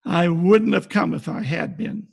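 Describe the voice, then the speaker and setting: An actor playing a blunt, wry private detective in an old-time radio play, with clipped noir delivery. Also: male voice, high voice, measured pace, vocal fry, a little mellow, a little hiss or hum, exaggerated voice. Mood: probing. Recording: home video-call recording.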